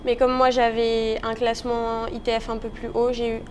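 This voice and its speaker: A woman's voice: fairly high pitch, monotone, normal speed, darkish tone, a little hiss or hum. A nineteen-year-old woman from the Paris region, giving casual interview answers. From Paris region, France. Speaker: interview guest, professional tennis player.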